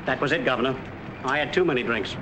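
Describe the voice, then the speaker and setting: A man's voice: mid-range voice, fast, close to an everyday voice. A man acting a friendly middle-aged working fellow in a 1940s film. He talks in a chatty, plain-spoken, folksy way, over a noisy vintage soundtrack. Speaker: actor.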